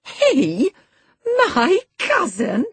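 A woman voicing a high, imperious little rich girl. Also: wildly swooping pitch, measured pace, slightly rough, dry recording, exaggerated voice. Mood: indignant. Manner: demanding, indignant. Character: spoiled, imperious young girl, haughty and easily tearful. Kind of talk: dramatised audiobook character.

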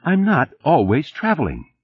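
A man voicing an adult wife in a novel, playful and teasing but quick to bristle. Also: on the high side, wildly swooping pitch, husky, muffled recording, voice pushed up, full character voice. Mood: angry.

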